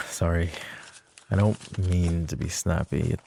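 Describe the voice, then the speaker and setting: A man playing a possessive, overprotective mafia boss in an intimate roleplay audio drama, with a low gravelly voice and a measured, commanding manner. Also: fairly even intonation, darkish tone, soft background music, full character voice. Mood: weary, curt. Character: possessive, overprotective male mafia boss, curt but caring. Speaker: voice actor.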